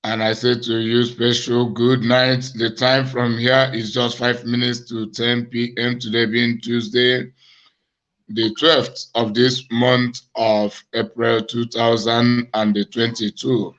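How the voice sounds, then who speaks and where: Hoarse man, slow and flat. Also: medium pitch. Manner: slow, deliberate, accusatory. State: hoarse, cracked voice from a cough. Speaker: evangelist and host. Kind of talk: monologue address to viewers. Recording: dull lo-fi recording.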